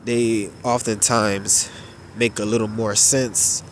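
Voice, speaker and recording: A man with a stuffed-up, nasal voice giving unhurried religious commentary, drawing out his phrases with filler pauses. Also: fairly low pitch, flat delivery, a little hiss or hum.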